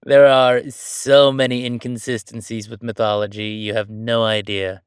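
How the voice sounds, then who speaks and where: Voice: medium pitch, little pitch movement, moderate pace, smooth, dry recording, studio quality, natural voice. An actor playing a chatty, sardonic ghost roommate in a roleplay audio, talking in a casual, teasing, exclamatory way. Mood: wry, chatty. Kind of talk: roleplay audio acting, conversational.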